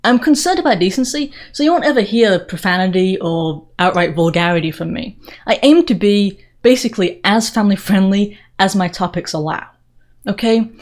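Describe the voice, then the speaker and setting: A woman from England speaks in a mid-pitched voice, her accent a blend of Northern England and the American South. She presents as a commentator addressing her viewers directly, earnest and opinionated, landing her points with emphasis. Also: lively intonation, moderate pace. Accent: mix of Northern English and Southern US. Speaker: political commentary video host. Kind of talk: direct-to-viewer channel introduction monologue.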